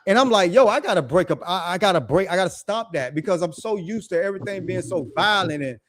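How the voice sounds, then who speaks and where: A middle-aged man with a naturally deep, raspy voice, chatting casually as a guest, laid-back and slangy with repeated phrases. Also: expressive, quick pace, crowd noise. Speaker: guest living abroad. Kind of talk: casual chat.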